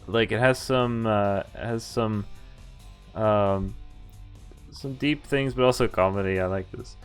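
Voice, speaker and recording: A man's voice: fairly low pitch, measured pace, smooth. A man streaming a visual novel, reading its text aloud and adding casual, amused, informal commentary. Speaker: game streamer. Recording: background music behind the voice. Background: game music.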